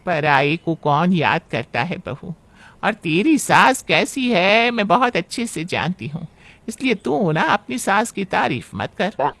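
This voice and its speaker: A man's voice: high voice, big pitch swings, measured pace, gravelly, fairly bright tone, no echo, full character voice. Scheming middle-aged aunt played by a man, cartoon family tale; theatrical needling, swooping intonation, dry sound. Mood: sour, bossy. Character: scheming, sharp-tongued middle-aged paternal aunt, a troublemaker. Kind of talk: Hindi cartoon family story acting.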